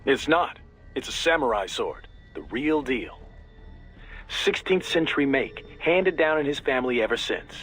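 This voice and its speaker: Lively, chatty tech-support guy. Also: mid-range voice, a little slow, soft background music. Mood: keen, explanatory. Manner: casual, explanatory, enthusiastic. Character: chatty, easygoing male tech-savvy mission support advisor. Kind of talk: video game radio briefing voice acting.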